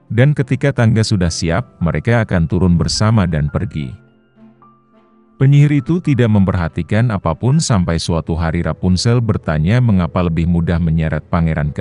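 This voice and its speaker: A man narrating a children's fairy tale in a calm, even storybook reading style. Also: low voice. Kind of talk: scripted bedtime story narration.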